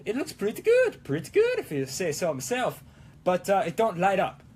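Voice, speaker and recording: A man doing a high, excitable cartoon Italian guy. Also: moderate pace, slight background noise. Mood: playful, explaining. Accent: mock Italian. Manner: exclamatory, playful, sing-song broken English. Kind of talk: live-streamed prop-making vlog in a put-on accent.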